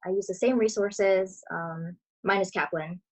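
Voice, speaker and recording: A woman in her twenties, born in Brazil and raised in Florida, giving relaxed, chatty interview answers as a dental student over a video call. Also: medium pitch, measured pace, rough recording. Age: 20s. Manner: chatty, relaxed, many fillers.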